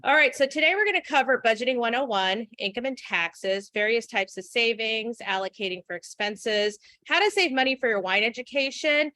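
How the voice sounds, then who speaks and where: A woman's voice: fairly high pitch, animated, a little fast, hardly any pauses, bright, forceful tone. A woman in her forties giving an earnest, frank webinar talk, with many fillers. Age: 40s. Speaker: guest speaker. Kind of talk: webinar presentation.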